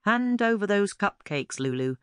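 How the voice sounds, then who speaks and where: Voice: medium pitch, big pitch swings, a little slow, fairly bright tone, smooth, no echo, studio quality, pitched above the performer's own voice. A narrator voicing a selfish, grumbling space creature in a children's story, demanding and greedy.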